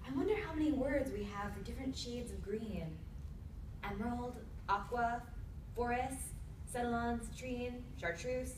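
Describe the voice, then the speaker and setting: Earnest, high-voiced young woman played by an actress. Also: moderate pace, lightly acted. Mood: polite, evasive. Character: earnest, stubborn young woman, servant to a sorcerer. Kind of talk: staged radio theatre dialogue. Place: indoor convention performance before microphones. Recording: echoey live room, distant mic, faint noise.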